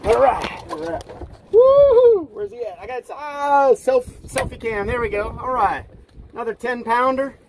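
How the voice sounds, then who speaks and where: A man, excitedly narrating a fishing trip from a small boat on the open sea, filmed with an action camera. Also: extremely high pitch, unhurried, gravelly, a little hiss or hum. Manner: upbeat, narrates while fighting fish, exclamations. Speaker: fishing vlogger. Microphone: action camera, sometimes selfie at arm's length.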